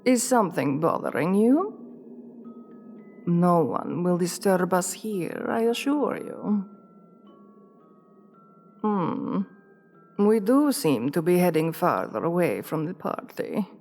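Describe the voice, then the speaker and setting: A woman's voice: lowish voice, expressive, slow, long pauses, a little mellow, soft background music. A woman playing an assertive, seductive vampire queen in an ASMR roleplay, formal and courtly, coaxing the listener with veiled menace. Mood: ominous, coaxing.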